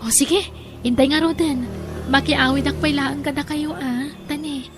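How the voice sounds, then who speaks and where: Actress as emotive jilted young Ilocano woman, high voice, audio drama, prominent music underneath. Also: fairly even intonation, moderate pace, close to an everyday voice. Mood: friendly, warm. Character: tearful jilted young woman, kind to a child.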